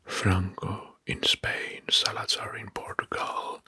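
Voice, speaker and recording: A man narrating history in an ASMR style, in a breathy, husky whisper, slowly and deliberately in short phrases. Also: bright, forceful tone, dry recording. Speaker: ASMR video host. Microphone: close microphone.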